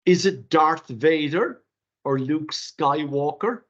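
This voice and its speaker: An older Irish man whose first language is Irish Gaelic gives a webinar workshop in an animated, rhetorical way, with theatrical asides, heard over a video call. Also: fairly high pitch, takes it slowly. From Ireland. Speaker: workshop presenter. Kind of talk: webinar lecture. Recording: dull lo-fi webinar audio.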